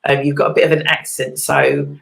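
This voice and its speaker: A woman's voice: sits low, level tone, a little fast, creaky. A woman hosting a casual chat show, chatty and prone to repeating her words. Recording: echoey room.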